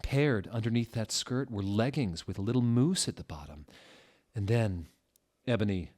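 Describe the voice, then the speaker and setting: Deep-voiced man, mock-dramatic reader. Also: normal speed. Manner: theatrical, exaggerated deadpan with sudden shouted outbursts. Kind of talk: mock-dramatic reading of a fan fiction.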